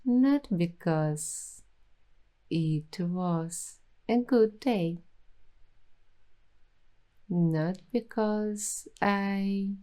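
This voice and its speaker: A woman hosting an ASMR roleplay, speaking softly and reflectively in gentle, affirming words. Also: medium pitch. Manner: soft, slow, reflective and affirming. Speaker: ASMR host.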